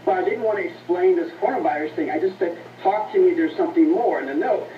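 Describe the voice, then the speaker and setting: High-voiced man on roomy, dull radio playback. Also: fast talker, far from the mic, slight background noise. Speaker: interview guest, author. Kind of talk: earnest broadcast interview answers.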